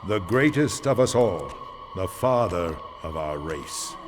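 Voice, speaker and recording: An actor playing an ancient, weary vampire swordsmith in a video game, solemn and portentous in delivery. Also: male voice, deep voice, lively intonation, slow. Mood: grave, solemn. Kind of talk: dramatic video game cutscene acting. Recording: music behind the voice.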